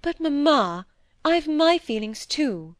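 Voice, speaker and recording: Woman as wilful young daughter, cool, quiet, demure, higher pitch, audiobook, dry sound. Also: animated, fairly quick, no echo, lightly acted. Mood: mock-aggrieved.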